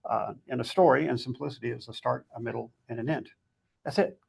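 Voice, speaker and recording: A man with a gravelly, husky voice giving conversational advice as a guest author, in a plain-spoken way with short, emphatic summings-up. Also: mid-range voice, quick pace, darkish tone.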